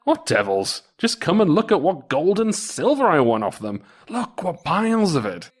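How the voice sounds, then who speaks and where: A man voicing a cunning, cocky soldier in a folktale, with a brisk, boastful, commanding manner. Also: high voice, very animated, voice pushed up.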